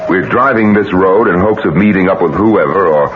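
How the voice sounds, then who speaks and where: A man's voice: sits low, moderate pace, a little hiss or hum, lightly acted. A man playing a defiant, stubborn, combative man in a 1970s radio drama. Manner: hard-edged, combative, determined. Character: defiant, stubborn widower hunting his wife's killer. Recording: muffled old radio broadcast.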